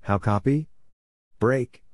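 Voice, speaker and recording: A man's voice: mid-range voice, takes it slowly, no room sound. A man reading out scripted radio exchange phrases in short, clipped, telegraphic bursts. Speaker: Morse code practice instructor.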